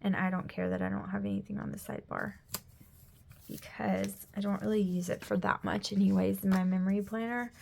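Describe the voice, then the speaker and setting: A gravelly-voiced woman in her thirties chats in a loose, rambling way, full of "like," and keeps dropping to a near whisper. She sounds sniffly, with a scratchy throat. A faint hiss sits under the recording. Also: mid-range voice, little pitch movement, a little fast, a little mellow. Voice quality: gravelly, drops often into a whisper. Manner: chatty, rambling, lots of "like". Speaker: planner vlogger, mother. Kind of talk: casual chat while decorating a planner. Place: home room.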